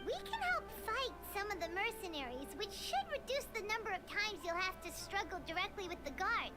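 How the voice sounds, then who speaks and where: Very high, lively childlike fairy sidekick for a video game, earnest, over loud music. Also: female voice, a little fast. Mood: eager, helpful. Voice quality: squeaky, childlike. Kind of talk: video game character acting.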